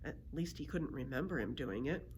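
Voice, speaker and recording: Expressive woman reading aloud, with background noise. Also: a bass voice, normal speed. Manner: expressive storytelling. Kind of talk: children's storybook read-aloud.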